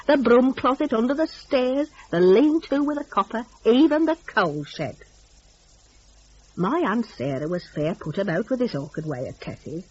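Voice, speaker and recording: A woman's voice: fairly high pitch, darkish tone, husky, dull audio. A woman narrating a dramatic story in a chatty, gossipy, folksy dialect.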